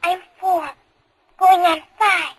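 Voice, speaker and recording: A woman's voice: very high-pitched, steady tone, slow. An actress playing an innocent, bewildered four-year-old girl in an old radio drama, speaking in a small, plaintive voice. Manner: small, plaintive, childlike recitation. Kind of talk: 1940s radio acting. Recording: very noisy old radio recording.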